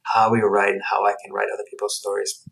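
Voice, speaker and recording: A man's voice: fairly low pitch, monotone, quick pace, vocal fry, fairly bright tone, rough recording. A middle-aged man telling personal stories as an interview guest, reflective and emphatic, often rephrasing himself. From United States and Guatemala. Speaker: interview guest, anthropologist and researcher.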